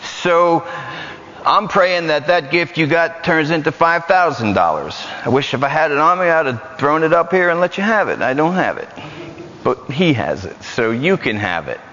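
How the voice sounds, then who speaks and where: A man with a New York accent preaching a lively, joking sermon in a church. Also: fairly high pitch, normal speed, a little hiss or hum. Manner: animated, joking, repetitive. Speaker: guest preacher. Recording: muffled church hall recording.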